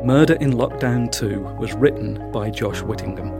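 A man's voice: medium pitch, normal speed. Man reading credits over music. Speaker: credits announcer. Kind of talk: scripted narration. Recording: music under the voice.

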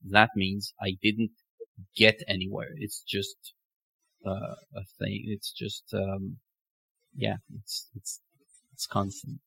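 A man with a low voice and very even intonation, hosting a conversational interview. He speaks in a philosophical, searching manner, recorded close and dry. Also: vocal fry, husky, no echo, low-quality recording. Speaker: podcast host.

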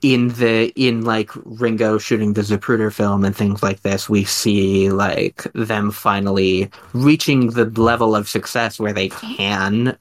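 Man with a lowish voice, thinking aloud. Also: level tone. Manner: halting, rambling, thinking aloud. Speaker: podcast host. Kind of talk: casual mock-scholarly discussion.

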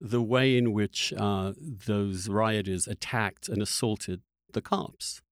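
A man's voice: lowish voice, slow, no room sound, clean studio sound. A man hosting a spontaneous political debate, combative and insistent, stressing his points and stammering into them. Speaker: podcast host.

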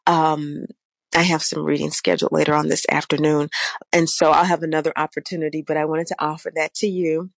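A woman with a slightly raspy voice giving an unscripted spiritual talk as a course host, meandering with fillers and self-corrections. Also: low voice, level tone, fairly quick, dry recording, studio quality. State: slightly raspy voice from a weather change. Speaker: oracle deck teacher and course host.

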